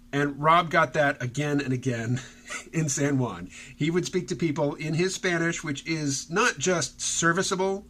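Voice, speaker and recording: A man's voice: on the high side, a bit bright, husky. A man talking casually in a solo vlog, rambling and chuckling as he reads out viewer comments. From Southern United States. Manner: rambling, chuckling, many fillers and restarts. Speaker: vlogger.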